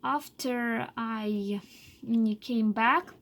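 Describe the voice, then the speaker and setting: Halting slow-speaking woman. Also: a little high. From Russia. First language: Chuvash. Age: 20s. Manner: halting, many filler pauses and restarts. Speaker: English learner telling her life story. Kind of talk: unscripted personal monologue.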